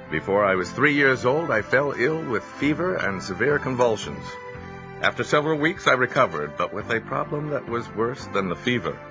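An actor playing an earnest nineteenth-century farm man telling his life story in an audio drama, reflective and measured, with music behind him. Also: medium pitch, natural voice, rough recording. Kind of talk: audio drama first-person narration.

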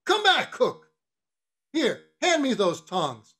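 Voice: mid-range voice, sing-song, unhurried. A reader voicing a bossy, mocking whaling-ship officer in an audiobook, questioning with teasing mock solemnity. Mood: bossy, scolding. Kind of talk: literary audiobook character reading.

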